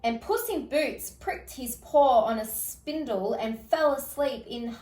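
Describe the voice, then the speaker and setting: Slow-paced woman reading a children's story. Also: high-pitched, animated, a little mellow, reverberant, recorded from a distance, soft background music. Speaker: storybook reader. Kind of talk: expressive children's story read-aloud.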